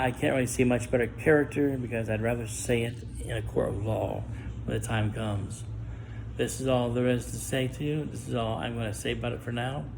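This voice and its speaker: A middle-aged man speaking a personal monologue to camera, guarded and halting, with stammered restarts and long pauses. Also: medium pitch, vocal fry, darkish tone, a little hiss or hum, poor audio. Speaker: vlogger.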